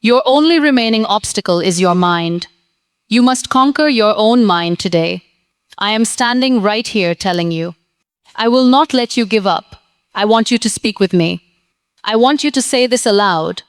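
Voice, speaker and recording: Woman teacher, earnest pep-talk delivery, fairly high, very clean voice, dry sound. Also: lively intonation, measured pace, clean tone, dry recording. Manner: earnest, encouraging, short declarative sentences. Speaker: English teacher. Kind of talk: scripted motivational lesson.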